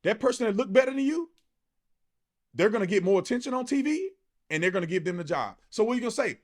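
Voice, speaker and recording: A man's voice: high-pitched, animated, fast talker. A man giving an impassioned, colloquial opinion monologue, emphatic and repetitive, often throwing out rhetorical questions. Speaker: political commentator.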